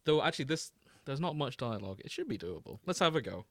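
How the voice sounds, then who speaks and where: A man's voice: mid-range voice, very animated, fast talker, no echo, studio quality. A man co-hosting a podcast in casual, joking chat. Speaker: podcast co-host and reader.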